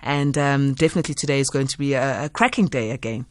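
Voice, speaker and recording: A woman's voice: very deep voice, fast, dry recording, studio quality. A woman hosting a late-night radio talk show, upbeat and reeling off call-in numbers. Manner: upbeat, reels off phone numbers. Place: radio studio.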